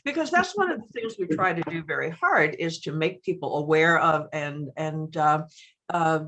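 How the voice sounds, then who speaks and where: A woman's voice: low voice, expressive, moderate pace, fairly bright tone. A woman in the audience of a talk, asking a spontaneous question in tentative phrasing.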